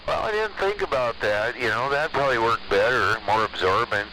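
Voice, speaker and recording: A man's voice: medium pitch, lively intonation, fairly quick. An American man chatting casually as an operator over a CB radio transmission. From United States. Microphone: CB radio microphone. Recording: hissy, noisy CB radio transmission.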